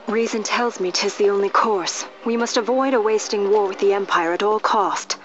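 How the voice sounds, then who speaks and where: A woman's voice: mid-range voice, fairly even intonation, normal speed, lightly acted. A woman playing a proud, anguished young princess in a fantasy video game, speaking formally and with restraint. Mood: troubled, bitter. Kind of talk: formal, archaic video game drama acting. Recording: slightly muffled game audio.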